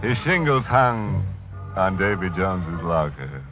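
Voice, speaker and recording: Slow, swooping, ghoulish radio horror host. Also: male voice, low-pitched, big pitch swings. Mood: ghoulish glee. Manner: mock-sympathetic, savoring morbid puns. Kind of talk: 1940s radio horror hosting. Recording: tinny 1940s radio recording with organ.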